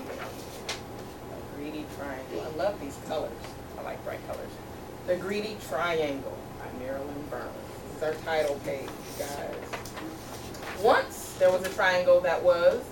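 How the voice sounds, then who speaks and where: A woman teacher reading a picture book to young children, encouragingly asking questions and repeating their answers back. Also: lowish voice. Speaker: teacher reading aloud to second graders. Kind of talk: interactive storybook read-aloud with questions. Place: room with a group of children. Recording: distant, echoey, noisy room recording.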